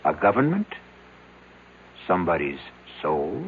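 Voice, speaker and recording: An ominous storyteller for a radio mystery. His low voice moves slowly and rhetorically, savoring each phrase. It comes through a tinny old radio broadcast with faint hum. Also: very animated, full character voice. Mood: ominous, knowing. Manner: deliberate, portentous, rhetorical. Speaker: host and narrator of a radio mystery series. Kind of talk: dramatic scripted radio narration.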